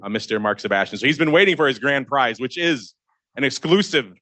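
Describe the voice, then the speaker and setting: Bright, teasing podcast host man. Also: medium pitch, animated, brisk, dry recording. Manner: jokey, teasing, gives guests nicknames. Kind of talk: live options podcast banter. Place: crowded live event room.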